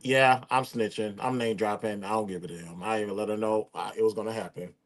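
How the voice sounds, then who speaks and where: A man's voice: lowish voice, fairly even intonation, fairly quick. A man in his late thirties hosting a live talk show, speaking in a casual, joking way. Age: 30s. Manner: joking, teasing. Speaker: host.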